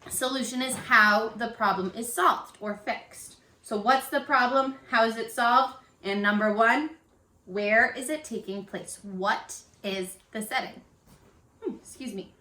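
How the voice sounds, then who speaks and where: A woman with a fairly high, bright voice leads a children's read-aloud lesson. She speaks expressively and encouragingly, at an unhurried pace, like a teacher with young pupils. The sound is roomy, recorded in a living room at home. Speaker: elementary school teacher. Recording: roomy, echoey home video.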